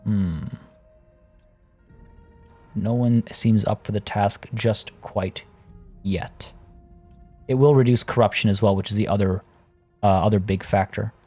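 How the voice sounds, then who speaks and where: A man casually talking through a strategy game as a let's play host. He is slightly hoarse from losing his voice and thinks aloud with fillers. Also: lowish voice, fairly even intonation, measured pace, long pauses, warm tone. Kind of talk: casual gameplay commentary. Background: faint game music.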